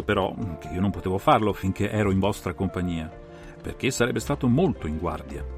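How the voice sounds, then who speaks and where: A man playing a brilliant, self-assured detective who explains a case in a measured, didactic, theatrical way for a dramatised audiobook. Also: fairly low pitch, creaky. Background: music.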